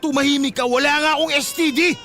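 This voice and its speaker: Domineering husband, radio drama: impatient, high, rapid, insistent. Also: male voice, steady tone, sharp tone, faint music behind. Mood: angry, defensive. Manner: barking, insistent. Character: angry, defensive husband and father, domineering and impatient.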